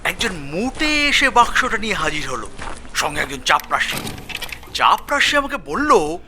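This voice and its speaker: Animated, high-pitched police constable. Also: male voice, normal speed, noisy background. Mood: earnest recounting. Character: excitable male police constable recounting a grisly find. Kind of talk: dramatic Bengali audio story acting.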